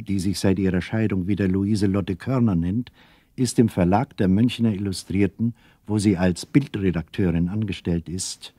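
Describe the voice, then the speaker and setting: A man narrating a children's radio play as a storyteller, in a measured, deliberate manner. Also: lowish voice, warm tone, husky, no room sound, studio quality. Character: calm male storyteller addressing young listeners.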